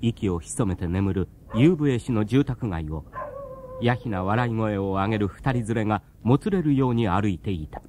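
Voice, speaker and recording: A man's voice: lowish voice, expressive, moderate pace, darkish tone, slight background noise, close to an everyday voice. A man narrating a scripted radio drama as the storyteller of an eerie tale. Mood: solemn.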